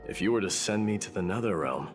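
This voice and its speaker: A man playing a proud, stoic warrior prince in a fantasy game, speaking gravely and formally. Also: low voice, animated, fast. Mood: grave, commanding. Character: proud, stoic warrior prince, demigod, resigned to his fate. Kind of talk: scripted fantasy game voice acting. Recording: music behind the voice.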